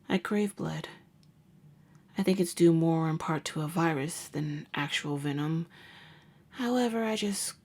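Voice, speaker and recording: A woman acts out a jaded vampire huntress who works as a nurse, in an ASMR audio drama. Her voice sits low for a woman. She speaks intimately and wryly, often sinking into breathy murmurs, and a caring streak shows beneath the hardened front. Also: darkish tone. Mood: wry, matter-of-fact. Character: jaded, wry female vampire hunter and nurse, secretly caring. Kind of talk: ASMR roleplay monologue.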